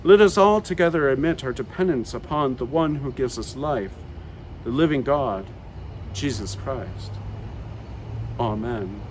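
A man's voice: medium pitch, a little slow, background noise. A middle-aged man, a parish priest, preaching a sermon outdoors in a garden with earnest, rising and falling emphasis.

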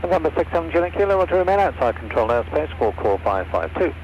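Fast male controller on crackly, dull radio. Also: on the high side, big pitch swings. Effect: aircraft radio. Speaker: air traffic controller. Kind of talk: clipped radio phraseology. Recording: dull, noisy aircraft radio reception.

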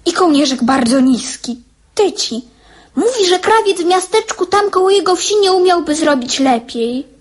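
A woman's voice: very high voice, lively intonation, normal speed. A woman playing an eager, chatty young schoolboy who talks earnestly in a radio drama.

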